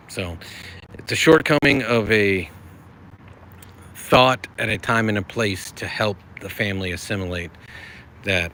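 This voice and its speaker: Bright-voiced man chatting on a livestream. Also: on the low side, a little hiss or hum. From United States. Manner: friendly, rambling, reads chat aloud. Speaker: livestream host. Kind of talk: casual livestream chat.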